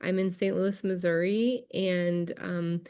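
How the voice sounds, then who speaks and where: A woman hosting as a circle facilitator in casual chat on a video call, relaxed and pausing with fillers. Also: lowish voice, smooth.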